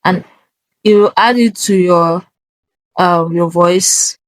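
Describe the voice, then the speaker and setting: A woman hosting a casual software walkthrough, talking step by step in a halting way and often checking in with an okay. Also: lowish voice, dry recording, rough recording. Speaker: tutorial host.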